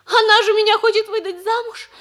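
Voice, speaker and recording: A gushing young noblewoman, very high. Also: steady tone, a little fast, fairly bright tone. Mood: breathless romantic. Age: young adult. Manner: breathless, gasping, giggly. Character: young lovestruck noblewoman, excitable and sentimental. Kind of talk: 1960s radio theatre acting.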